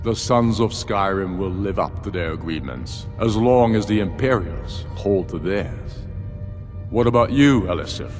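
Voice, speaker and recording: An actor playing a proud rebel warlord in a fantasy game, commanding and sardonic. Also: male voice, sits low, expressive, unhurried. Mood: scornful. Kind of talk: fantasy video game voice acting. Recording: long hall reverb, music behind.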